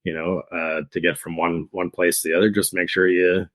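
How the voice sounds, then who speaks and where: A man who runs motorcycle tours, speaking as a podcast guest in a halting, rambling way with many restarts. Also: sits low, flat delivery, fairly bright tone, no room sound. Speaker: motorcycle tour operator, guest. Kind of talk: casual interview.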